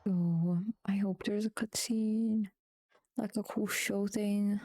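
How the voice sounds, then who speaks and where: A woman live streaming a video game, reacting casually in a slightly flat, mellow voice. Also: mid-range voice, takes it slowly, no room sound.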